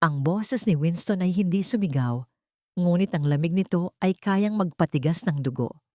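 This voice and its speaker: A woman audiobook narrator reading a dramatic story from a script. Her voice sits fairly low but moves expressively, and the recording is dry. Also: lively intonation, moderate pace, a little mellow, no echo.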